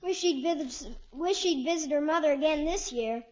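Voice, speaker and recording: A woman's voice: high-pitched, normal speed, a little mellow, close to an everyday voice. A woman playing a small, innocent, eager little boy with a guileless, childlike manner in 1950s radio comedy. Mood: innocent, hopeful. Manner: guileless, plain-spoken, childlike. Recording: muffled vintage 1950s radio broadcast.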